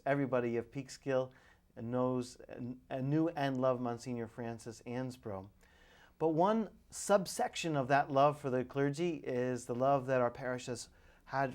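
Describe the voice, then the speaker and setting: Man, middle-aged priest, TV host, measured pace, ordinary pitch. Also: darkish tone. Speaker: priest hosting a TV show. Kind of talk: public access TV interview.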